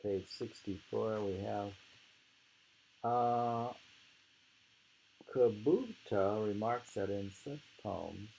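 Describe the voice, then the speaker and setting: A man's voice: lowish voice, extremely slow, gravelly, pauses often, dark tone, poor audio. A man reading aloud from a book of poetry and commenting informally, in a rambling, musing manner. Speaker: reader leading a poetry book discussion.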